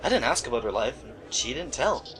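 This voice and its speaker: A man's voice: medium pitch, sing-song, a little fast, a bit bright, faint music behind, rough recording. A man playing an anxious young store clerk in an amateur radio play, earnest, agitated and defensive.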